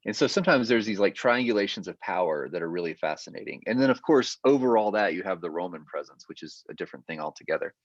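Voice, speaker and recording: A man with a fairly low voice gives an adult education lecture over a video call. He explains at length and pauses on fillers as he goes. The audio is dry and a little muffled. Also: lively intonation, no echo. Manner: explanatory, hesitant with frequent fillers.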